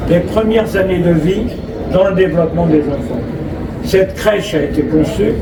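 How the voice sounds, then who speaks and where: A man with a French accent addresses an outdoor gathering as a local official. His voice is high, and his phrasing is formal and measured, read from notes with off-script asides. The sound is echoey and picked up from a distance. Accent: French from France. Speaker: local official giving a speech. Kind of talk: inauguration speech, read from notes with ad-libbed asides. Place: outdoor school forecourt, public address. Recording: roomy echo, distant mic, clear background noise.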